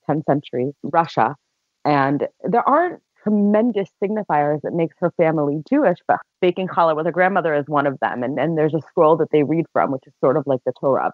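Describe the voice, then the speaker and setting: A woman's voice: sits low, no room sound. A woman with an American accent, a literary agent, speaking earnestly and explaining on a podcast panel. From United States. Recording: dry, phone-like line.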